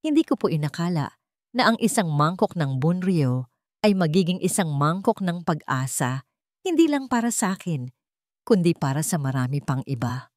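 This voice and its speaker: A woman voicing a confident, sincere self-made businesswoman and mother in a dramatic story, speaking clearly and earnestly. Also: low-pitched, very animated, moderate pace, darkish tone, dry recording, clean studio sound. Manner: clear, earnest, formal speechmaking.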